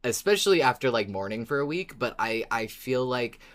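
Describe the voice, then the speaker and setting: A podcast co-host with a medium-pitched voice talks in casual fan-chat style. The delivery is expressive, often acting out reactions in a dramatized way. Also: brisk.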